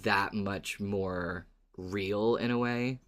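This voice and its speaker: A man's voice: lowish voice, steady tone, drawn-out words, a bit bright. A man co-hosting a podcast, chatting casually and recapping in a playful, wry, dramatic way. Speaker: podcast co-host.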